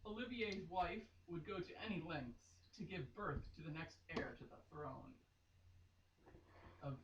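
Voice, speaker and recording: A woman's voice: fairly low pitch, animated, unhurried, highs cut off. A woman giving a mock-dramatic read-aloud with casual, giggly, exclaiming asides. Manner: giggly, exclaiming, playfully theatrical. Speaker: reader performing a dramatic reading of a romance novel. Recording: echoey room, distant mic, faint noise.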